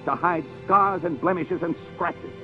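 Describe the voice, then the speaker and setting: An American actor playing a shrewd, methodical police inspector who corners suspects, in 1950s radio drama style. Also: male voice, fairly high pitch, unhurried, lightly acted. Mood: stern, triumphant. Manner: methodical, accusatory, drily self-deprecating. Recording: muffled vintage radio broadcast with music.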